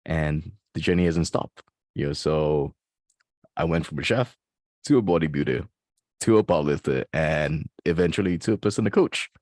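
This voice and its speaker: A man with a deep, resonant, bassy voice, a fitness coach telling his story in an interview. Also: normal speed, a little mellow, dry recording, studio quality. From Malaysia.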